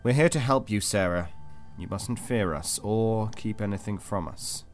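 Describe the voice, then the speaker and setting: An actor playing a cold, detached interrogator in an audio drama, formal, controlled and insistent. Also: male voice, fairly low pitch, animated, moderate pace, natural voice. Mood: stern. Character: cold, clinical male interrogator of a captive. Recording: music under the voice.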